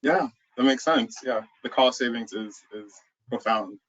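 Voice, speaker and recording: A man's voice: mid-range voice, a little slow, a bit bright, highs cut off. Upbeat male panel moderator. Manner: upbeat, affirming.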